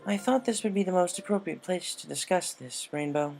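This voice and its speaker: A kindly middle-aged mare who keeps a shop played by a woman reading a story. The voice is lifted and motherly, speaking gently and with sympathy. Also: lowish voice, fairly quick, gravelly, faint music behind, pitched above the performer's own voice. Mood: tactful. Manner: gentle, sympathetic, uses endearments.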